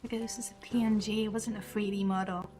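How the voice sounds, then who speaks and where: A woman's voice: mid-range voice, level tone, quick pace, dark tone, faint music behind. A woman game streamer giving casual, chatty live commentary, rambling along with her train of thought.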